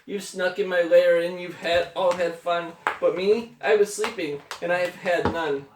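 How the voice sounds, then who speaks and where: A woman voicing a big grumbling bear, loud and self-pitying, in a storybook read-aloud. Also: on the low side, steady tone, moderate pace, pitched above the performer's own voice, natural voice. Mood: hurt, indignant. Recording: roomy echo, distant mic, noisy background.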